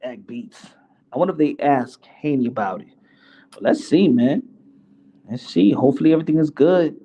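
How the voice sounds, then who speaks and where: A man's voice: on the high side, expressive, normal speed, dark tone, husky, muffled recording. A native Spanish-speaking man casually hosting a boxing chat in slangy, informal talk, joking and stumbling over and repeating his words. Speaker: host of a boxing commentary show.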